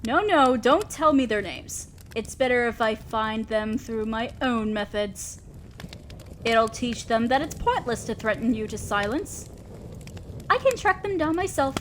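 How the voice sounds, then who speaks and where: A woman's voice: on the high side, expressive, a little slow. A woman playing a commanding, teasing but protective demon lord in a scripted audio roleplay, speaking to the listener in an imperious, theatrical way. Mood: brisk, authoritative. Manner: imperious, theatrical, mock-scolding. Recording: faint ambient noise, crackling fire.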